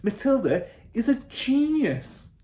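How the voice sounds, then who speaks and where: A man's voice: extremely high pitch, sing-song, slow, warm tone, pitched above the performer's own voice, full character voice. A man voicing an earnest, flustered female primary school teacher in a children's storybook, pleading and protesting. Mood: insistent protest. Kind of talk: children's storybook character reading.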